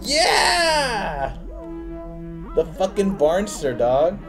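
A man streaming live, reading on-screen captions aloud and reacting with loud, profane excitement and laughter. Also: high-pitched, extremely slow, over music.

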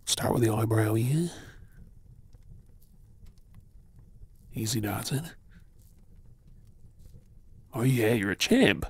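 An Australian man playing a kind, reassuring rescuer in an intimate ASMR role-play, speaking softly and soothingly. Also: fairly low pitch, animated, heavily acted. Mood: gentle, caring. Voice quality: soft, breathy. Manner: gentle, soothing, with pauses. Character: kind, reassuring male rescuer tending an injured woman.

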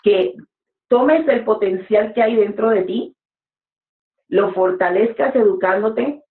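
A woman's voice: mid-range voice, slow. A woman in her forties, a preacher speaking as an interview guest, earnest and exhorting, talking from a room at home over a webcam call. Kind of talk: motivational interview talk. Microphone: webcam on a video call. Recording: echoey room, phone-like sound.

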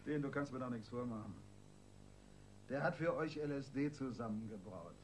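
Radio crime play: male actor as tough, sarcastic interrogating cop, medium pitch, blunt and needling. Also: normal speed, slight background noise, close to an everyday voice. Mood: pressing. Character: hard, mocking male police detective interrogating a suspect.

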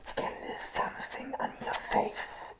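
A young man playing a creepy, crazy circus clown in a breathy ASMR roleplay whisper, halting and repeating himself. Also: lightly acted, poor audio. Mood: unhinged, teasing.

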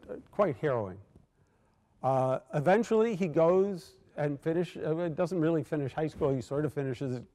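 A man's voice: fairly high pitch, warm tone. An older man giving a keynote lecture from a podium microphone in a large hall, telling a story with many fillers and restarts. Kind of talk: academic lecture.

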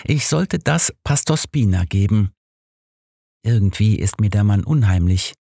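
A man's voice: fairly low pitch, animated, measured pace, gravelly, mellow, slightly rough, no echo, clean studio sound, heavily acted. A man voicing a frightened, hurt young girl in an audiobook, with plain, hesitant childlike wording. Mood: uneasy, confiding. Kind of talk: audiobook character reading.

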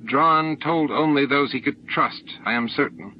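A man's voice: medium pitch, a little slow, a little hiss or hum, pitched above the performer's own voice, close to an everyday voice. A man voicing a guilt-ridden, protective male alien in an audiobook. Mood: troubled, defensive. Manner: earnest, troubled. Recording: dull lo-fi audiobook tape recording.

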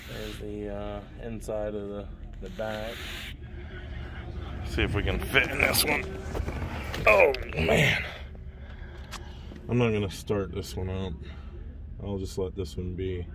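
A man's voice: lowish voice, takes it slowly, creaky, long pauses, over a noisy crowd. A man giving laid-back, slangy, admiring off-the-cuff commentary as he films a car walkaround. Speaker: car show vlogger. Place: outdoor car auction lot, at times inside a car. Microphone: handheld camera, close behind it. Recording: outdoor car lot ambient noise.

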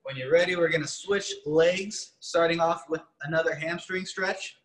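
A man's voice: fairly high pitch, fairly even intonation, normal speed, fairly bright tone. A young man leading an online workout class from a gym, giving upbeat, encouraging stretching cues. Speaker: fitness coach. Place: large gym with rigs, indoors. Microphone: far camera. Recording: echoey gym room.

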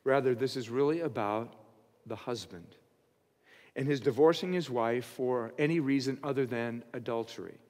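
An older man in his sixties preaches from a pulpit with an earnest, teaching manner. His voice sits at a middle pitch and climbs when he stresses a point. A long reverb from the church hall trails each phrase. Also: normal speed, a little mellow. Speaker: pastor. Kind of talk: expository sermon. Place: church stage behind a pulpit.

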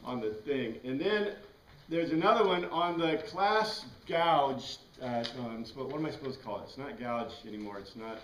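A man's voice: medium pitch, expressive, normal speed, a bit bright. A middle-aged man with an American accent giving an informal classroom lecture, rambling conversationally and putting questions to the class. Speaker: class teacher. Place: small classroom with whiteboard and bookshelves. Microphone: far camera across the room. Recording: echoey room, distant mic, background noise.

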